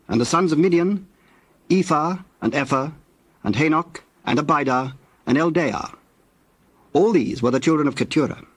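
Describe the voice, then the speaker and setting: A man narrating scripture from a script in a measured, formal storytelling manner. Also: fairly high pitch, husky, no room sound.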